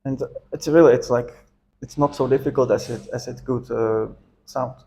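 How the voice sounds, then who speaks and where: A man from the Czech Republic with a Czech accent, speaking English as a second language in halting, hesitant phrases during an interview. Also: medium pitch, steady tone, dark tone. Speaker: interview guest. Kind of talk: casual interview.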